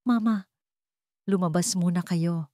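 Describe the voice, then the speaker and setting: A woman's voice: lowish voice, unhurried, warm tone, no echo, studio quality, natural voice. A woman voicing a wealthy young male CEO in a drama story, firm, pragmatic and urgent. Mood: stern, firm.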